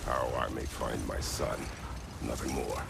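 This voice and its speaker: A stern, weary god of war in a video game. His voice is extremely deep and thick with gravel. He talks in clipped, gruff commands, and loud ambience sits behind him. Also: fairly even intonation, a little slow, very noisy, heavily acted. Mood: grim, guarded. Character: stern, weary warrior god, a brooding father. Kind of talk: dramatic video game acting.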